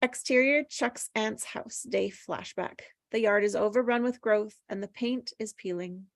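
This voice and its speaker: A woman's voice: medium pitch, a little slow, gravelly, no echo, clean studio sound. A woman reading screenplay stage directions as plain, descriptive narration at a table read.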